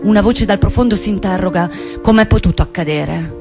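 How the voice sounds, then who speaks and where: An actress playing an embittered, outspoken woman in an intense, emphatic stage monologue with deliberate pauses. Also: mid-range voice, over music, natural voice. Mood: defiant, aching. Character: embittered outspoken woman recounting her lost friend and trial. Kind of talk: dramatic theatrical monologue.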